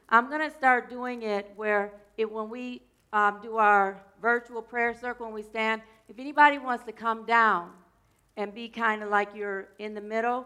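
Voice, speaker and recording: A woman minister giving a loose, chatty sermon to her congregation. Her voice is scratchy today and she keeps clearing her throat, and she tends to wander off topic and joke along the way. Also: mid-range voice, sharp tone. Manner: rambling, playful, drifts off topic mid-sentence. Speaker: minister of a church.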